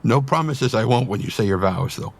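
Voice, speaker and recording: A man playing a tender, sentimental father at a wedding in a motion comic, speaking in a heartfelt, earnest way with a husky voice. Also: mid-range voice, expressive, a little fast. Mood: affectionate, moved. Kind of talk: dramatic motion comic voice acting.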